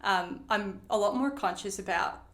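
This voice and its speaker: A chatty young woman, Swedish by birth, talks in an American accent full of "like" and "um". Her voice sits at a middle pitch and rings in an echoey room. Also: moderate pace, fairly bright tone. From Sweden. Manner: chatty, lots of fillers and likes. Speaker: guest. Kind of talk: casual interview chat.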